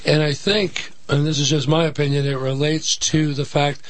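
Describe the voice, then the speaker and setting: A man with a slightly hoarse voice, a financial advisor explaining market patterns as a guest in a radio interview. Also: a little high, moderate pace, background noise. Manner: explanatory, steady. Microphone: studio microphone.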